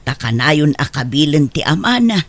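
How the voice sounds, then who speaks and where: A woman playing a protective, sympathetic middle-aged godmother in a radio drama, expressive and concerned. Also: deep voice, normal speed, no room sound, full character voice. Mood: reassuring, pleading. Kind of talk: Ilocano radio drama acting.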